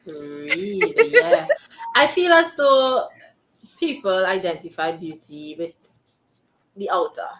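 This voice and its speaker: A woman's voice: mid-range voice, very animated, slow, vocal fry, a bit bright, low-quality recording. A woman guest on a livestream chat who agrees easily and laughs often. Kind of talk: casual chat.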